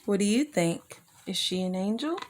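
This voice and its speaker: Woman, mid-pitched voice, children's storyteller, expressive read-aloud, addresses young listeners. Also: moderate pace, background noise.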